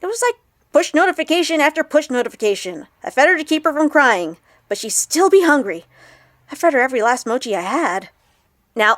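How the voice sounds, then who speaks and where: Woman acting an excitable, high-voiced young vlogger girl. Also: no room sound, clean studio sound, close to an everyday voice. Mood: exasperated, rambling. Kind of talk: audio drama acted as a confessional vlog.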